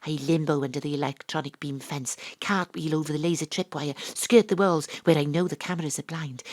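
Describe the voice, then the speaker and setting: An actress playing a brisk, sardonic female private investigator in an audio drama, telling the story in a dramatic, knowing way. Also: low-pitched, slightly rough, no echo. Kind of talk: audio drama narration and dialogue, hard-boiled detective parody.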